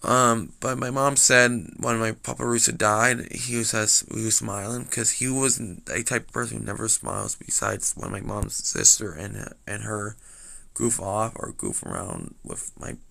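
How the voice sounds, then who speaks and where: Young man, slightly raspy, gravelly fry, bright tone, rambling with lots of restarts. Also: fairly low pitch, unhurried. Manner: hesitant, full of fillers and restarts. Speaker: vlogger. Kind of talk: rambling storytelling.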